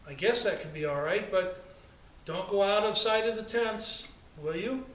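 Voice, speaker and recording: A man voicing a calm, quietly firm farm mother in a storybook, measured and gently instructing. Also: high voice, voice pushed up. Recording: echoey, distant, noisy room recording.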